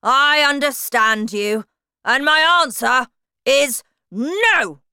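A woman voicing a huge, furious head teacher who shouts in a loud, dangerous voice. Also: mid-range voice, lively intonation, slow, clear, resonant tone, dry recording, clean studio sound, pitched above the performer's own voice. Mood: angry shouting.

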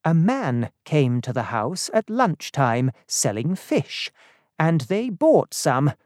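A narrator voicing a concerned male doctor in an audiobook story, formal and measured. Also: medium pitch, sing-song, a little mellow, no echo, clean studio sound.

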